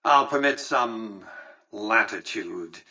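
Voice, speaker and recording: Imperious, bright-voiced tycoon mastermind. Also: male voice, on the low side, expressive, slow pace, slightly rough, far from the mic, full character voice, low-quality recording. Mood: cool, commanding. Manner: formal, pompous, precise, patronizing. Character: imperious, calculating elderly male tycoon, coolly visionary mastermind. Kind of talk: scripted video game character dialogue.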